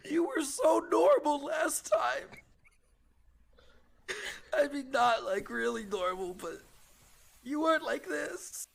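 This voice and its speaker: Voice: very animated, brisk, fairly bright tone. A game master playing a panicked young man who pleads in a rising, desperate voice. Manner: desperate, pleading, high-pitched.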